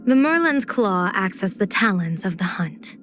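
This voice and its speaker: A woman playing a playful, scheming, poised general and diviner in a video game, speaking in measured, teasing, elegant phrases. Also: mid-range voice, big pitch swings, background noise. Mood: wry, composed. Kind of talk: video game character voice acting.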